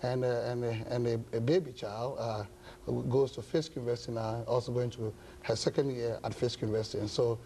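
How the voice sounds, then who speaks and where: Mellow-voiced pastor from Ghana, halting. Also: male voice, medium pitch, fairly even intonation, a little hiss or hum. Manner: halting, many uh fillers, measured. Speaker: pastor and guest. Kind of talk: interview talk show.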